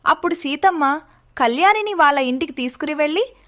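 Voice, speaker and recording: A woman's voice: high voice, animated, fairly quick, a bit bright. A woman narrating a scripted moral story for children.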